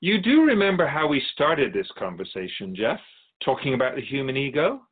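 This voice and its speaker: A man playing a calm, wise, otherworldly keeper of the soul realm in a radio drama, speaking in a measured, gently lecturing way. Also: mid-range voice, slightly rough. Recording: tinny phone-like sound.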